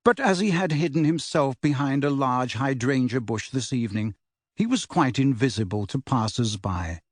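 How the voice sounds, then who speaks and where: A man's voice: medium pitch, moderate pace, dry recording. Man narrating a novel, very dry sound. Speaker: audiobook narrator. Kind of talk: scripted story narration.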